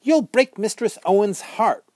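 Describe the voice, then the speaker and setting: A man's voice: high voice, lively intonation, measured pace, a little mellow, no echo, voice pushed up. A man doing a scolding ghostly witch girl. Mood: pleading. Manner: chiding, archaic turns of speech.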